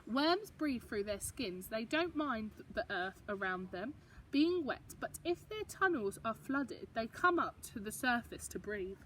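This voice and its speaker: A woman's voice: fairly high pitch, lively intonation, measured pace, a bit bright, recorded from a distance, a little hiss or hum, rough recording. A woman reading a picture book to young children, expressively, with explanatory asides and questions for the listeners. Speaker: storybook reader for young children.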